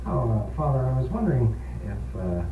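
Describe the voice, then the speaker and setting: A man hosting a local radio discussion, speaking in a formal, deliberate and wordy way on an old 1970s aircheck. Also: medium pitch, sing-song, recorded from a distance. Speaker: talk show host. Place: radio program, distant-sounding and echoey. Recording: tinny, echoey 1970s radio aircheck, humming noise.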